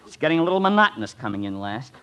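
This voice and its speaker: An actor playing an eager, cheerful, hapless young American college runner in a 1950s radio sitcom. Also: male voice, medium pitch, big pitch swings, rapid-fire, a bit bright, slight background noise. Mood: chipper. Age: young adult.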